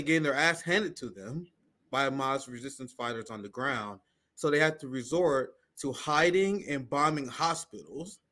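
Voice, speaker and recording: A man's voice: mid-range voice, a bit bright. A man in his thirties co-hosting a casual reaction show over a video call, heated and scornful, breaking into laughs between points. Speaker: podcast co-host. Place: home room. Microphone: video call webcam.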